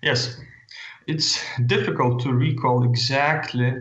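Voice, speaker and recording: A man's voice: medium pitch, fairly even intonation, takes it slowly, a bit bright, echoey room, dull audio. A man from Lithuania with a Lithuanian accent, a radio guest speaking English hesitantly and searching for words. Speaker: interview guest.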